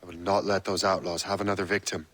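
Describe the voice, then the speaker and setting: An actor playing a brooding, resolute young Irish sailor in a video game, speaking earnestly. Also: male voice, low voice, level tone, fast talker, a bit bright, dry recording. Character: brooding young male sailor turned fighter, resolute, haunted. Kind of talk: video game dramatic acting.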